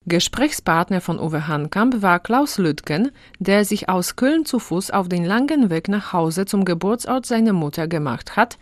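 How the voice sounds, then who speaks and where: A woman presenting a radio program, reading scripted links in a clear, even way. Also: on the low side, normal speed, hardly any pauses.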